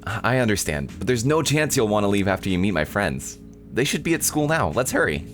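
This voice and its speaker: A man's voice: on the low side, sing-song, a little fast, fairly bright tone, faint music behind. A man voicing a perky, earnest young anime girl in a visual novel, in an eager, gushing manner. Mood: cheerful. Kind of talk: visual novel character dialogue.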